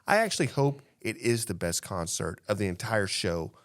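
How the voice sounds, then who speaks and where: A man with a fairly low voice co-hosts a casual panel podcast. He talks in an emphatic, opinionated way, repeating phrases to press his point and often tagging on a "right?". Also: very animated, normal speed. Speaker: podcast co-host.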